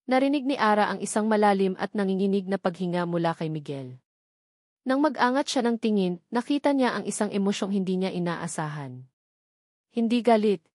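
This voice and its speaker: A woman narrating a scripted romantic drama in deliberate, dramatic short phrases. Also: medium pitch, a little mellow, no room sound, studio quality.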